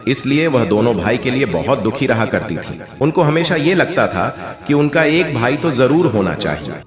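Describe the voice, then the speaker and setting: A man's voice: mid-range voice, sing-song, normal speed, roomy sound, a crowd in the background. A man narrating a scripted moral folk tale as a storyteller.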